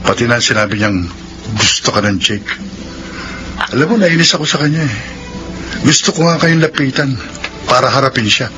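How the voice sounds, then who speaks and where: Actor playing a caring Filipino husband, music behind. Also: male voice, medium pitch, normal speed, pauses often, close to an everyday voice, low-quality recording. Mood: annoyed, restrained. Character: caring, protective, restrained young husband and father. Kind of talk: Filipino radio drama acting.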